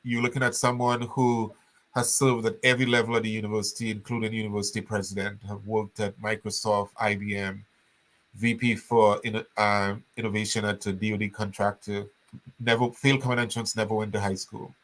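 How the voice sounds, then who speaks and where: An older man speaks as an interview guest. His voice is bright and forceful. He tells his story in an animated way, often restarting his sentences. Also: lowish voice, fairly quick, low-quality recording. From Trinidad.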